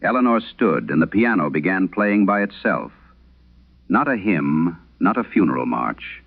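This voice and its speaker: A male actor as a haunted old Irish priest confessing in a horror radio play. His low voice is gravelly and grave, rising and falling dramatically as he speaks in measured, solemn phrases. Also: very animated, no echo, full character voice. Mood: dread, brooding. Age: older. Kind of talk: dramatic first-person horror radio monologue. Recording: dull lo-fi vintage radio sound.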